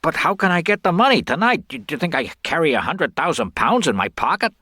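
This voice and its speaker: A man's voice: a little high, sing-song, sharp tone, slightly rough, no room sound, studio quality, voice pushed up, heavily acted. A man voicing a brash, slick con man who talks in slangy, pushy bursts in a storybook reading. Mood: indignant. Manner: slangy, fast-talking, pushy.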